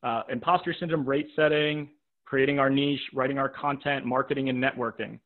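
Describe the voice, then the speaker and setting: A man's voice: medium pitch, fairly even intonation, fairly quick. A man coaching a live webinar, direct and practical, repeating points for emphasis and checking in with "right?", his voice tiring after a long day. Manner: checks in with rhetorical "right?" and "okay?". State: voice tiring from talking all day. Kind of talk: live webinar teaching with audience questions. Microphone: video call microphone. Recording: narrow, tinny video-call audio.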